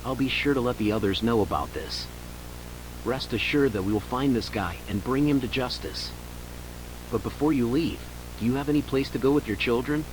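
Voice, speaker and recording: A man's voice: medium pitch, close to an everyday voice. A man playing a composed, formal investigator interviewing a witness in an analog horror audio drama, over hum and hiss. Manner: formal, measured, official.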